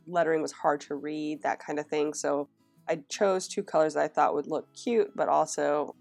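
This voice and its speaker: A woman's voice: deep voice, vocal fry, darkish tone. A woman narrating a casual flip-through of her planner, her throat scratchy from a cold, chatting along with fillers and restarts. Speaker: planner vlogger.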